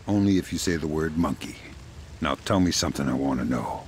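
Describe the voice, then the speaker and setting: A seasoned, menacing video game spy with a very deep voice. He delivers wisecracks in a deadpan, sardonic way, with slight background noise. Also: fairly quick. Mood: wry, deadpan. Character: dry, menacing, wisecracking veteran male spy.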